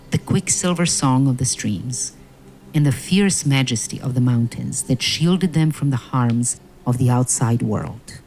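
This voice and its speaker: A woman narrating a fairy-tale audio play in a measured, solemn storytelling manner. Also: very deep voice, darkish tone, rough recording. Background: music.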